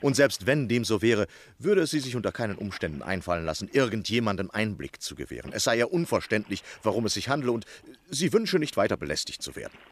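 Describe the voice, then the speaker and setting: An actor playing an eager, scheming literary gentleman, articulate and insistent, in a German radio drama. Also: on the low side, very animated, fast, few pauses, slight background noise. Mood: eager, indignant. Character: eager, scheming American male literary biographer.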